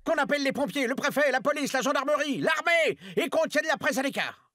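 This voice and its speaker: An actor voicing an officious, easily panicked school headmaster in a cartoon. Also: male voice, extremely high pitch, lively intonation, fairly quick, sharp tone, dry recording, studio quality, exaggerated voice. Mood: panicky, bossy. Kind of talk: French animated cartoon dubbing.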